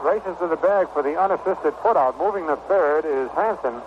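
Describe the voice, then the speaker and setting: Male American baseball announcer, 1960s radio, slightly high voice, measured short calls, dull broadcast sound under heavy noise. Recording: noisy, muffled vintage 1960 radio broadcast.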